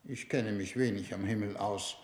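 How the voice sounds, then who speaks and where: An actor playing a shrewd foreign minister leading a space mission, speaking in a measured, formal way in a 1960s German-language radio drama. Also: male voice, on the low side, fairly even intonation, darkish tone, close to an everyday voice. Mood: probing, ironic. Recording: roomy 1960s radio studio recording.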